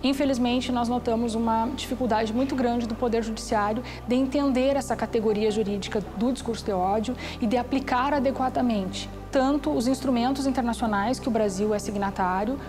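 Brazilian woman researcher explaining stuff formally, a bit high pitched, music behind her. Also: brisk, hardly any pauses. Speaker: academic researcher. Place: indoors, small office. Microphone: lapel.

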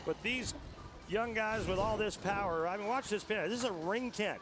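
A man analyzing bowling shots for a TV broadcast, talking through technique in long explanations with a slightly raised pitch. Clear arena background noise sits behind him. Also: normal speed, a bit bright. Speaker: color commentator. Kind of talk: sports color commentary.

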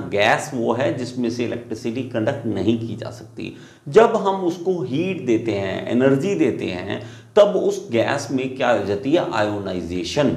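A young man giving an engineering lesson in front of a screen, animated, asking questions and answering them himself, on a lapel mic. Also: medium pitch, vocal fry. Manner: animated, gestures at a screen, asks and answers his own questions. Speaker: engineering teacher. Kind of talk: classroom lecture. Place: small room in front of a TV screen. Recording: echoey room.

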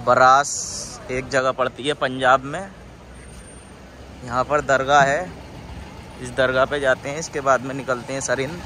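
A man's voice: medium pitch, steady tone, measured pace, pauses often, bright, forceful tone. A young man casually narrating a road trip vlog, chatting to his viewers from inside a car. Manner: chatty, addresses viewers as guys. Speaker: vlogger. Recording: noisy car and road sound.

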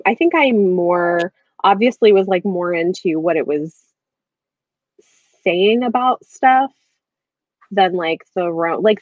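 A woman in her thirties chats casually about a book, rambling a little as she thinks aloud. Her pitch sits in the middle, and the sound is close and dry, slightly dull like a video call. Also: dry recording. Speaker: book club livestream host. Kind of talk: casual book discussion chat. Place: indoor room at home, on a video call.

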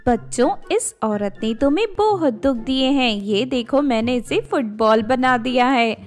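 A woman's voice: a little high, lively intonation, a little slow, darkish tone, soft background music. A woman voicing a righteous fairy woman who protects children, stern and commanding, in a cartoon story. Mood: reassuring.

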